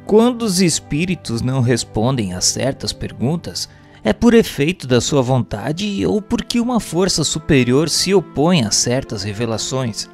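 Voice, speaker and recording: A man plays an earnest, studious questioner in a radio drama, with a Brazilian accent. The mid-pitched voice moves in animated swings while staying formal and inquiring. Soft music runs underneath. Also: measured pace. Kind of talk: scripted question reading, formal.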